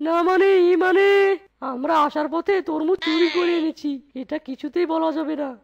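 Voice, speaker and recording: A woman's voice: extremely high pitch, normal speed, vocal fry, highs cut off. A woman voicing a sly, hungry younger fox son-in-law in a comic Bengali cartoon, hesitant and complaining. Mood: evasive. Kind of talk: Bengali animated comedy story dialogue.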